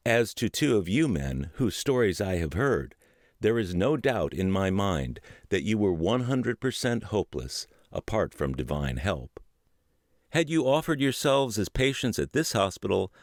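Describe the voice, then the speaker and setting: A mature man with a deep, low-pitched voice reads as an audiobook narrator. His phrasing is measured and deliberate. The sound is dry, with no room echo. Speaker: audiobook narrator and podcast host.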